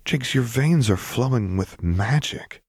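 A man's voice: medium pitch, expressive, takes it slowly, darkish tone, slightly rough, no echo, clean studio sound, voice pushed up, exaggerated voice. A man voicing a caring young woman healer in an audiobook, earnest and soothing, with some worry. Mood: worried. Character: gentle, caring young woman healer, worried but playful.

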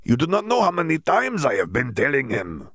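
A man voicing a weary, blunt young wizard who speaks in halting, non-native grammar with a sighing manner. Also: fairly low pitch, lively intonation, no echo, clean studio sound.

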